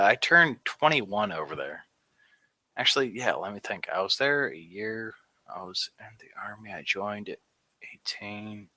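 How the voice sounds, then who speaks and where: Low, bright-voiced veteran man. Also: dry recording. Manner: rambling, many "like" fillers, laughs at grim moments. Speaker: Army veteran guest. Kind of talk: casual interview talk.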